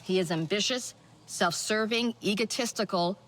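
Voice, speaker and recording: An actress plays a seasoned woman leading a resistance cell in a video game. She speaks soberly, with authority, in a medium-pitched voice. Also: moderate pace, a bit bright, natural voice, poor audio. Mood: grave. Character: sober, seasoned human resistance leader briefing her team. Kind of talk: cinematic video game dialogue.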